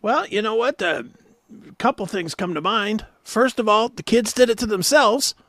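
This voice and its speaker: A man's voice: sits high, moderate pace, a bit bright, no room sound, clean studio sound. A middle-aged American man co-hosting a talk show, chatting casually and bluntly with strong opinions and some swearing.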